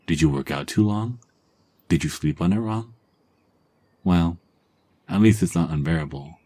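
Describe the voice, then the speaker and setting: A man narrating a second-person romance story in a calm ASMR style, drifting at times into hushed whispers. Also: deep voice, a little slow.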